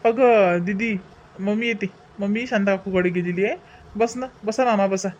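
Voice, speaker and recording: A man's voice: high voice, normal speed, lo-fi sound, lightly acted. A man voicing a polite village lad, a younger brother in a family comedy cartoon. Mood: welcoming. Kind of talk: animated family comedy dialogue.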